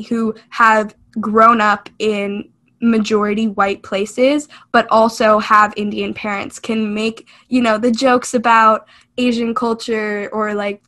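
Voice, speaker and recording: A woman's voice: fairly high pitch, fairly bright tone. A young woman from California co-hosting a podcast, speaking in an earnest, reflective way with frequent "like" and "you know". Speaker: podcast co-host. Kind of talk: casual chat.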